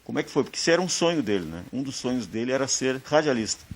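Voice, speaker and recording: Um apresentador de sotaque do sul do Brasil, que conduz a entrevista com perguntas pausadas. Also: male voice, medium pitch, sing-song. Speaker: host. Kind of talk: documentary interview.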